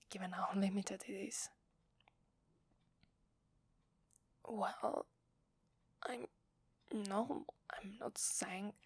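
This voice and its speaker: A woman with an Italian accent playing a loving, playful werewolf wife in a soft, breathy ASMR roleplay, tender and intimate in her delivery. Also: medium pitch, no echo, exaggerated voice. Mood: tender, adoring. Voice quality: breathy, whispery.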